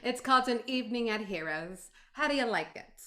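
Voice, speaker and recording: A woman's voice: a little high, expressive, brisk, sharp tone. A woman playing a catty, mock-sweet rival in a 1940s radio comedy, with a snide manner. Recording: noisy live stage recording.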